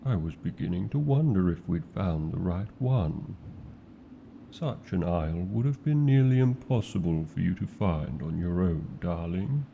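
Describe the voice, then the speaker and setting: A man with a British accent voicing a tender, protective male dragon in a fantasy ASMR roleplay, speaking in a slow, formal and doting way full of endearments. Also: deep voice, animated, gravelly, narrow, tinny audio, slight background noise, full character voice. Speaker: voice actor.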